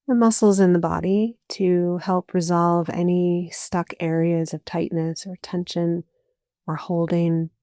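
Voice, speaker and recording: Slow, creaky-voiced woman guiding deep rest. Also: no echo, studio quality. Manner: calm, soothing, unhurried, with short phrases and long pauses. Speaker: yoga nidra teacher. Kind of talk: guided meditation, slow spoken instruction.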